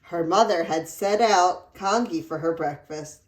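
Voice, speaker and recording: Slow-paced woman reading a storybook aloud. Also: lowish voice. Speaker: read-aloud narrator. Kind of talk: expressive storybook read-aloud. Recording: roomy echo.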